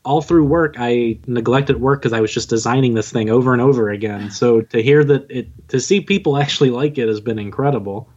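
A man's voice: medium pitch, fairly quick, few pauses, darkish tone, highs cut off. A man in his twenties chatting casually as an interview guest, modest and informal in how he talks. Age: 20s. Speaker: podcast guest, audio drama writer and narrator.

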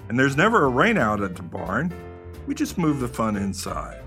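A man's voice: mid-range voice, wildly swooping pitch, moderate pace, a bit bright. A man delivering a scripted radio promo as a theater board president. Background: music.

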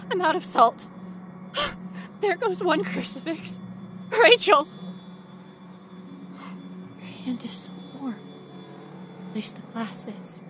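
A woman playing a frightened, stubborn young female ghost researcher in a first-person horror audio drama, with an intense, breathy delivery. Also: high voice, background noise. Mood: panicked. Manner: intense, breathy, dropping to whispers and rising to cries.

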